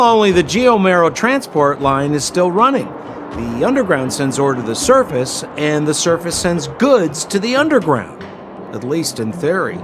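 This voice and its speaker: An actor playing a slippery, fawning young male hustler in a video game, with theatrical salesman patter. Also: on the high side. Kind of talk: video game cutscene voice acting.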